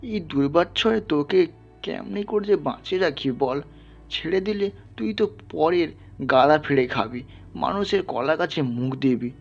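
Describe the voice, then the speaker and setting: A poor peasant father in a literary audio drama, acted by a man, tender and sorrowful. His voice is gravelly and husky, pleading in halting murmurs. The recording sounds dull, with music underneath. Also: a little high, expressive, full character voice. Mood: tender grief. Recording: band-limited, music underneath.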